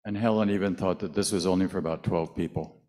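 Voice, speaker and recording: An older American man with a very deep voice talks calmly in short phrases into a handheld mic. He speaks in a large indoor hall and laughs lightly now and then. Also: normal speed. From United States. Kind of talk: talk with live interpreter.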